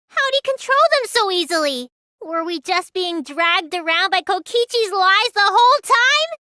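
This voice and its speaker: A woman voicing a small, childlike teenage girl mage in a video game, high and girlish, sulky and excitable with a whiny edge. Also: background noise, full character voice. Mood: frustrated, agitated. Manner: whiny, stammers when startled. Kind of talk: English game dub voice lines.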